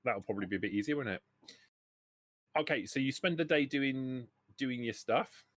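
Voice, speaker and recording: A man narrating a tabletop game as game master, haltingly, often repeating words as he thinks. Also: medium pitch, lively intonation, no echo, clean studio sound.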